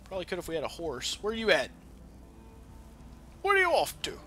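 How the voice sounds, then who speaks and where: Bright-voiced man doing rambling game commentary. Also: high-pitched, huge pitch swings, brisk, soft background music. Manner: rambling, profane. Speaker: gaming video host. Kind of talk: casual let's play commentary.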